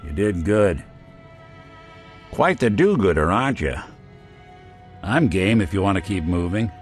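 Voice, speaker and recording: A man's voice: low voice, sing-song, a little slow, faint music behind. An actor playing a weary male synth private detective with a dry, laid-back wit, in video game dialogue. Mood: wry.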